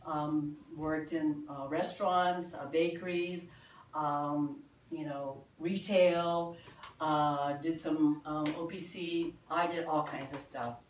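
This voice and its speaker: A woman in her sixties from the Philippines, giving an earnest, halting presentation to a small group with many fillers. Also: sits low, steady tone, slight background noise. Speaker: presenter at a sales meeting. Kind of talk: informal presentation. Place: meeting room. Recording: echoey room from far off.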